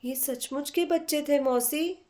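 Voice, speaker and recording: A woman's voice: sits high, a little slow, dark tone, pitched above the performer's own voice. A woman voicing a tender-hearted eight-year-old girl in a storybook reading, plaintive and pleading. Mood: tearful.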